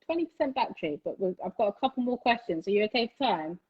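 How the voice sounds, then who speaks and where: A woman's voice: mid-range voice, expressive, fast, husky. Chatty woman interviewer, lo-fi sound. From United Kingdom. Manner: chatty and enthusiastic, laughs often, many fillers. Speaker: interviewer, channel host. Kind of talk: casual interview chat. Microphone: phone recording.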